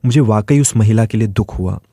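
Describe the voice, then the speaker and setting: A man's voice: lowish voice, a little fast, mellow, no echo, clean studio sound. A man reading a scripted first-person dramatic story as its narrator.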